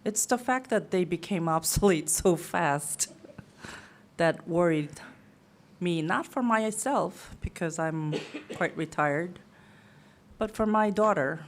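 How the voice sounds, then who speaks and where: A woman's voice: on the low side, takes it slowly. A woman in her sixties whose first language is Korean, asking a long, rambling, chatty question from the audience of a lecture. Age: 60s. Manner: rambling, chatty, laughs at herself.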